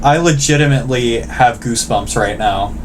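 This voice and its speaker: A man's voice: mid-range voice, moderate pace, background noise. A man hosting casual reaction commentary, joking and self-deprecating, with loose, sweary phrasing. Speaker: video host.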